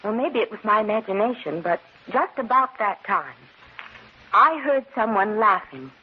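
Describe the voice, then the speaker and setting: A woman's voice: mid-range voice, animated, moderate pace, breathy edge. An actress playing a frightened young woman, breathless and melodramatic, in an old radio horror play. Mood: uneasy. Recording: muffled old radio recording.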